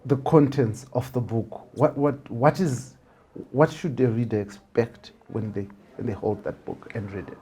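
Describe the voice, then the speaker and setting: Man, interviewer, mellow tone, medium pitch, halting repeated phrase openings. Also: slightly rough. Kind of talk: book interview, conversational.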